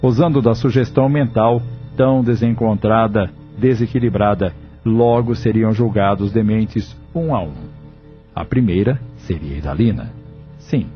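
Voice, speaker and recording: A man narrating a spiritist audio novel in a measured, solemn storytelling manner. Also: fairly low pitch, highs cut off, soft background music.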